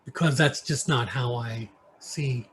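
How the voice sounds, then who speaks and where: A man from New York with an American accent, an interview guest telling stories casually and haltingly, sometimes switching between English and Mandarin. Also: mid-range voice, fairly bright tone. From New York, United States. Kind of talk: casual conversational storytelling. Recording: clear background noise.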